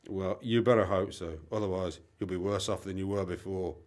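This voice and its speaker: A man's voice: sits low, fairly even intonation, fairly quick, darkish tone, natural voice. A young British man playing a sensible, concerned friend who keeps questioning insistently, in an amateur radio play. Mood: worried.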